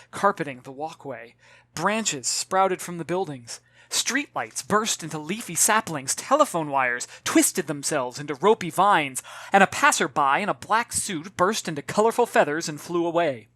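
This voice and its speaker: A man reading his own novel as an expressive audiobook, with dramatic, measured storytelling. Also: fairly high pitch, no echo. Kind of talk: expressive scripted audiobook narration.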